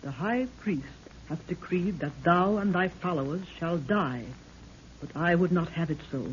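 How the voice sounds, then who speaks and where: A woman's voice: lowish voice, measured pace, close to an everyday voice. An actress playing a solemn, fearful queen of a lost people, with stately archaic diction, in an old radio drama. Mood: solemn, urgent. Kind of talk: 1930s radio drama acting. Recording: muffled, noisy 1930s radio recording.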